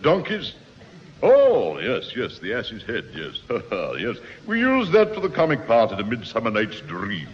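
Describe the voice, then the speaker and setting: Dry, dithering schoolmaster, lively intonation. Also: male voice, medium pitch, unhurried. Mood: dry, sarcastic. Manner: hesitant, hemming and humming, sarcastic. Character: dry, hesitant English schoolmaster, easily exasperated. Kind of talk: 1960s radio sitcom acting. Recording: reverberant, muffled, noisy 1960s radio recording.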